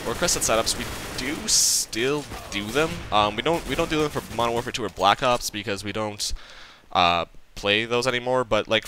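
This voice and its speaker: A man's voice: lowish voice, bright, forceful tone, a little hiss or hum. A nineteen-year-old man giving casual gameplay commentary, rambling and profane, stuttering and leaving sentences unfinished. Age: young adult. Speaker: gaming channel host.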